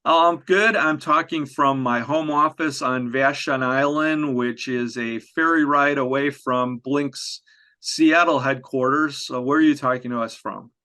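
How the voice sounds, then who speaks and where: A man's voice: mid-range voice, a little slow, bright, forceful tone. A man hosting an interview series from his home office, chatting easily with many fillers and restarts. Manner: friendly, with frequent fillers and restarts. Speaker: podcast host. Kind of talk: conversational interview.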